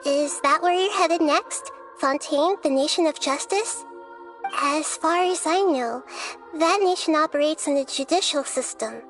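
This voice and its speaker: A woman voicing a gentle, childlike young goddess in a video game, speaking in measured, formal, poetic phrases. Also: sits high, faint music behind. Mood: explaining, calm. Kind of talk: scripted video game dialogue.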